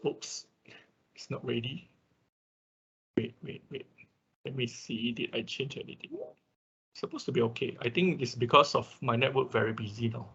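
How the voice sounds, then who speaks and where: A man's voice: medium pitch, normal speed, sharp tone, slightly rough. A man giving an informal hands-on technical tutorial as an online instructor, often checking in with short tags, recorded on a dull computer microphone. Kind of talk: hands-on technical tutorial, walking through steps. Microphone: computer microphone in an online class.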